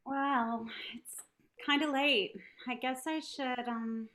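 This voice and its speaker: A woman plays a sitcom love interest on an awkward date. Her voice sits a little high, and her delivery is natural, hesitant and flirty. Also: fairly even intonation, takes it slowly, sharp tone, heavily acted. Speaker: actor in a sitcom table read. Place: indoors, on a video call.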